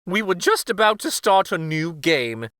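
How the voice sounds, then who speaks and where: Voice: medium pitch, very animated, unhurried, a bit bright, dry recording, clean studio sound, pitched above the performer's own voice. A man voicing a fussy, reluctant storybook king with whiny, exclamatory protests. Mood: grudging. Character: fussy, reluctant king afraid of splinter removal.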